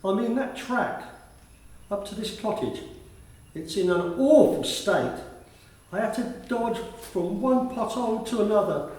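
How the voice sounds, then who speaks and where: A man acts out a courteous, chatty male school attendance officer in a radio play. His voice sits fairly high for a man and carries a kindly concern. It is captured at a distance in a very echoey room. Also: unhurried, darkish tone. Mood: wry complaint. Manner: courteous, formal address, talkative.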